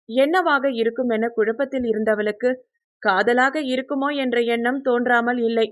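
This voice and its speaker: A woman with a fairly high voice reads a romance novel aloud. Her narration is scripted and dramatic, and she tells it expressively as an audiobook storyteller. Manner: expressive, acts out the dialogue.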